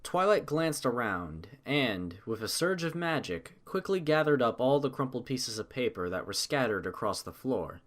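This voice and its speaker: A man narrating a scripted story with expressive, dramatic, measured delivery. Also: mid-range voice.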